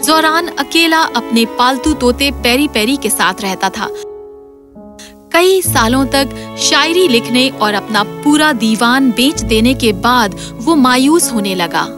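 High-pitched woman storyteller. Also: lively intonation. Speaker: narrator. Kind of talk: scripted children's fairy tale narration. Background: music.

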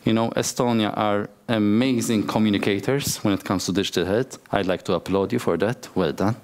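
A man's voice: fairly low pitch, steady tone, brisk, darkish tone. A man moderating a conference panel in an upbeat way, with playful asides to the audience. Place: large conference hall.

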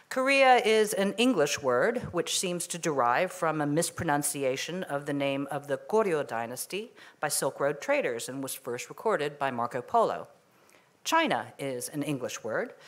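Woman reading a poem, measured and low. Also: fairly bright tone. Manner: measured, deliberate delivery. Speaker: poet. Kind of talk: poetry reading. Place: museum stage, indoors. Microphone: microphone on a stand at a lectern.

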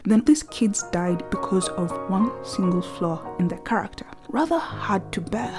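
A woman narrating a scripted video essay in an earnest, reflective way, often softly and breathily. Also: medium pitch, animated, normal speed, over music.

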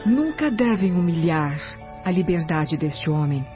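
A woman's voice: lowish voice, very animated, measured pace, over music. A woman playing a solemn, declamatory Roman noblewoman in a radio drama.

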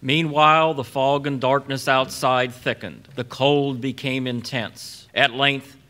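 A man's voice: mid-range voice, steady tone, unhurried, bright, forceful tone, soft background music. A man announcing and narrating a live radio drama on stage, in a dramatic, emphatic storytelling style. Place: auditorium stage before a live audience.

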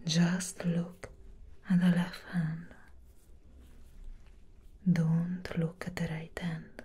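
A young woman playing a calm, commanding female assassin in a whispered ASMR roleplay, slowly and softly instructing the listener up close. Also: lowish voice, slightly rough, exaggerated voice. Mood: hypnotic, soothing. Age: young adult. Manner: slow, hushed, instructing the listener. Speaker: ASMR performer. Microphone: very close ASMR microphone.

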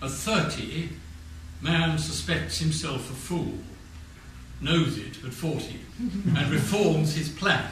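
Casting: English man, 70s, highish voice, declaiming poetry very slowly in an echoey room. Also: a bit bright. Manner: measured, declamatory. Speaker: reader of poetry at a talk. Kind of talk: formal poetry recitation. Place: community meeting room with audience. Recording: very echoey room, distant mic, faint noise.

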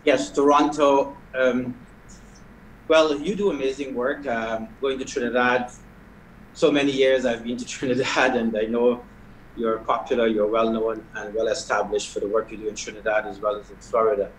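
A middle-aged man from Guyana, a prison chaplain, answering questions in a measured way with hesitations over a video call. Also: medium pitch, little pitch movement, slight background noise. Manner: measured, soft-spoken with hesitations. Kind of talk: interview answers. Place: video call from an indoor hall. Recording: echoey video call audio.

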